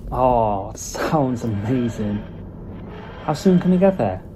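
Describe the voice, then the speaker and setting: A man's voice: medium pitch, expressive, takes it slowly. Man playing young nerdy companion, noisy recording. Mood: eager. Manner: casual, conversational. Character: young nerdy travelling companion, eager and inquisitive. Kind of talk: fan audio drama acting.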